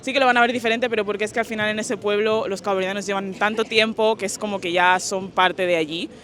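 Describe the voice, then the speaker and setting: Young woman, from Spain, bright tense tone, reflective casual answers, interviewee. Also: mid-range voice, fairly even intonation, quick pace. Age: young adult. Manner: thoughtful, casual slangy phrasing.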